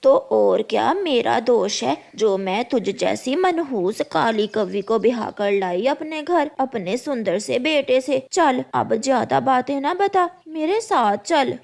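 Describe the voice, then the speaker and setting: A woman's voice: a little high, expressive, slow, gravelly, hardly any pauses, a little mellow, exaggerated voice. A woman voicing a spiteful, impatient old crow mother-in-law in a cartoon story, nagging and commanding. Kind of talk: Hindi cartoon story acting.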